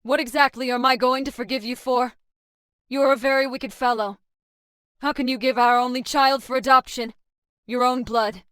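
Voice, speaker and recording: Woman acting a high-pitched outraged wife. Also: steady tone, moderate pace, a bit bright, clear, resonant tone, no room sound, studio quality. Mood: furious reproach. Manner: indignant, scolding. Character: outraged wife and mother, indignant and accusing. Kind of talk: animated moral story dialogue.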